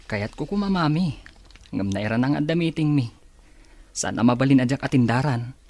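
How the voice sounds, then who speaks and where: A man's voice: mid-range voice, expressive, normal speed, darkish tone, no echo, close to an everyday voice. A man with an Ilocano Filipino accent playing an evasive grown son, a busy young professional, in a radio drama. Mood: excusing.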